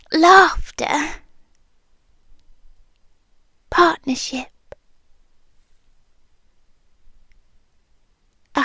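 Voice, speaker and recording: A woman's voice: sits high, dry recording, clean studio sound, heavily acted. A British woman playing an ancient, possessive, lovelorn forest monster in an intimate ASMR roleplay, drifting into whispers. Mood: wistful, yearning. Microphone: close microphone for headphones.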